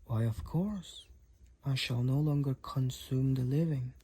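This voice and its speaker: A man voicing a devoted, overprotective vampire boyfriend in an intimate audio roleplay, with courtly, archaic, tender phrasing and a breathy, husky voice. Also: medium pitch, dark tone. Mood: earnest, tender. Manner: archaic, formal, tender, slow and deliberate wording.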